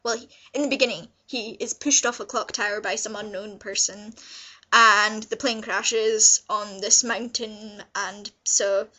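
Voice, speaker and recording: A woman's voice: mid-range voice, steady tone, a little slow, pushed and bright. A young woman chatting casually as she gives a review, in a gushing, informal, rambling way. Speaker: vlogger reviewing visual novels. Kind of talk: casual review chat to camera.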